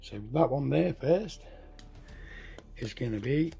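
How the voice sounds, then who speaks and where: A hoarse man getting over a cold walks through a tabletop game setup, halting, correcting himself and clearing his throat. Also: mid-range voice, animated, dark tone, soft background music. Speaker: tabletop game tutorial host. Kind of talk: casual step-by-step game setup walkthrough.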